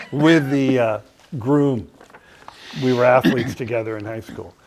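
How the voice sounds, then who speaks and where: A man's voice: medium pitch, takes it slowly, a little mellow. Older man telling a rambling story. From Connecticut, USA. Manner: rambling storyteller with frequent "uh" fillers and restarts. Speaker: guest. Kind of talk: storytelling in a podcast interview.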